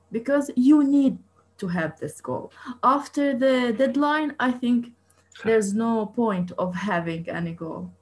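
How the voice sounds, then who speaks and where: Higher-voiced woman. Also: poor audio. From East Jerusalem. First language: Arabic. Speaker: interview guest, coach and researcher. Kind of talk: interview answers.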